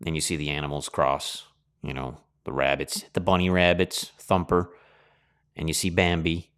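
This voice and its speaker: Deep, smooth-voiced man reminiscing. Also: flat delivery, moderate pace, a bit bright. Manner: rambling, nostalgic, with many fillers. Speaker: host. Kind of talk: casual reflective monologue.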